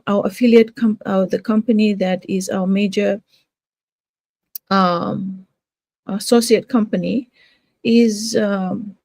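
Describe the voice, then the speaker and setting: Soft-toned woman from Fiji, halting. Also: medium pitch, creaky, no echo. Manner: hesitant, many fillers and restarts. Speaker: home business promoter. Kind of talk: casual talk to camera.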